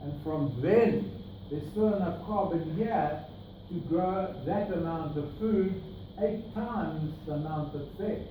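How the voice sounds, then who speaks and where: A man's voice: a little high, slow pace, phone-quality sound. South African man lecturing, echoey and far. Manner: explanatory, points at slides, rhetorical asides. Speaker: grazing workshop presenter. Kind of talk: slide talk to a workshop group. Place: meeting room with projector screen. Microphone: far camera, no mic. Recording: distant echoey room with noise.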